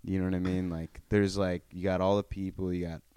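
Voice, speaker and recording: A man's voice: low voice, flat delivery, fairly quick, dark tone, clear, resonant tone, no room sound. A young man from North Carolina, a comedian guest telling rambling, laid-back stories in a casual podcast chat. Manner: rambling, laid-back storytelling with many fillers.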